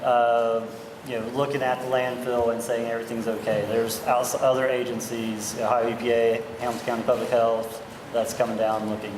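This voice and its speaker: A man's voice: medium pitch, level tone, normal speed. A man, an agency official, answering public questions at a hearing with many ums and restarts. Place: public meeting hall. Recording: echoey hall, background noise.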